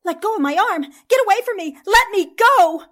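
A woman's voice: very high voice, lively intonation, normal speed, a bit bright, slight background noise. A woman playing a plucky, determined newspaper reporter in a 1940s-style radio drama, with an earnest, dramatic delivery. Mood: defiant.

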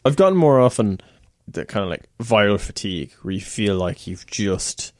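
Very deep-voiced man chatting. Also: animated, moderate pace, no echo, clean studio sound. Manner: agreeable, chatty, frequent backchannel. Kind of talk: casual chat.

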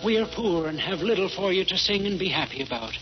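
A weary poor father speaks in solemn, sorrowful tones with a high voice, as a man acts him out. The recording is dull and muffled, like a 1940s radio broadcast, with music playing behind. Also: animated, fairly quick.

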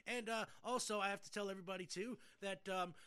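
A man's voice: sits high, steady tone, fast talker, bright, forceful tone, clear, resonant tone. A middle-aged man hosting a podcast in casual chat, rambling and often repeating his words. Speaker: podcast host.

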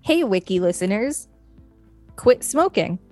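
A woman's voice: medium pitch, big pitch swings, measured pace, a little mellow, no room sound, soft background music. A woman co-hosting a podcast, reading an article aloud and adding casual asides. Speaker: podcast co-host.

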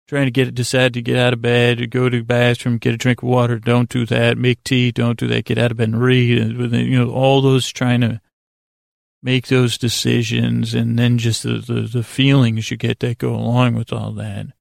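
A man with a heavily creaky, gravelly voice at an average pitch hosts a bedtime podcast. He meanders through an informal monologue, halting and doubling back in a self-deprecating way. The recording is very dry, with no sense of the room. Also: no room sound. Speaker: host of a bedtime sleep podcast.

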